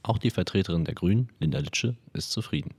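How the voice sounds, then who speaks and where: Low-voiced man reading news narration. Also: expressive, quick pace, heavy background noise. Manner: smooth, scripted. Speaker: reporter. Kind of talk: scripted news voice-over.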